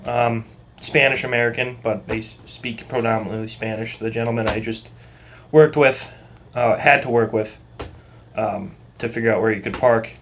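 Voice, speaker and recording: A young man with a Massachusetts accent muddled by moving around talks in a calm, relaxed rhythm. He rambles along with lots of ums and uhs and drops swear words casually. A faint hiss sits behind his voice. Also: mid-range voice, moderate pace. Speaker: vlogger. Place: at work.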